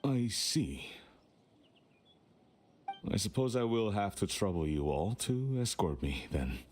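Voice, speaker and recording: A man's voice: on the low side, animated, takes it slowly, a little mellow, slight background noise. An actor playing a stern, reserved middle-aged merchant father in a video game, curt and formal. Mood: stern, aloof. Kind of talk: English video game voice acting.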